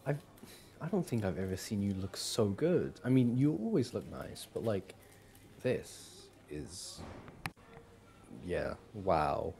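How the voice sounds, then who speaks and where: Man acting doting young boyfriend, boyfriend ASMR roleplay, slow pace, soft-edged mellow tone, hesitant, affectionate. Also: fairly low pitch, very animated, vocal fry, a little hiss or hum, heavily acted. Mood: awed, delighted. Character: doting, smitten young boyfriend on a shopping date. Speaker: audio roleplay performer. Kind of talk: boyfriend ASMR roleplay, acted one-sided conversation.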